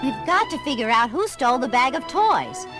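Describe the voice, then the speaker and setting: A woman's voice: sits high, sing-song, moderate pace. An actress playing a smart, no-nonsense young woman sleuth in a cartoon audio drama, earnest and matter-of-fact. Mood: earnest, puzzled. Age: young adult. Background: music.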